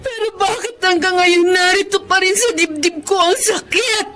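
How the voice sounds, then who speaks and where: A woman's voice: very high voice, moderate pace. An actress playing a betrayed, devout housewife and mother in a Tagalog radio drama, with a Filipino accent and heartfelt, emotive delivery. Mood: tearful, anguished. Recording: radio drama with loud music underneath.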